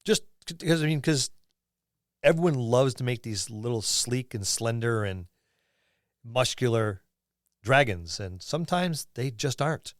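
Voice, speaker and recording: A man with a medium-pitched voice co-hosts a gaming podcast. He talks in a loose, halting way, as if thinking aloud. The sound is close and dry, with no room around it. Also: expressive, dry recording. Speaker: podcast co-host. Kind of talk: casual chat about tabletop gaming.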